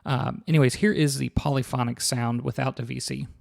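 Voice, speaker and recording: Brief: hoarse male reviewer, gravelly fry, loose filler-heavy delivery, home-studio walkthrough. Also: mid-range voice, brisk, very noisy. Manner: relaxed, filler-heavy, restarts sentences. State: hoarse, scratchy voice. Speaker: sample library reviewer. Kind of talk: casual product walkthrough.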